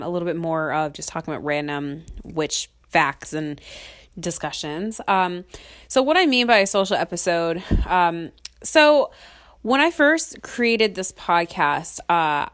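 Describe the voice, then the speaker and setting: A young woman chats alone as a podcast host, her voice sitting a little low for a woman. She is sick with a cold and sometimes sniffles. Her talk rambles, full of "um"s and quick laughs. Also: clear, resonant tone. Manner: chatty and rambling, laughs often, many ums. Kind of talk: casual solo podcast chat.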